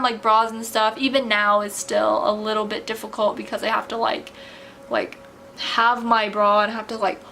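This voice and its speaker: A woman's voice: medium pitch, fairly bright tone, slight background noise. A young woman vlogging in a casual, rambling way, full of "like" and "um", her voice tiring from talking. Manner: rambling, many filler "like"s and "um"s. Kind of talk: casual chat to camera.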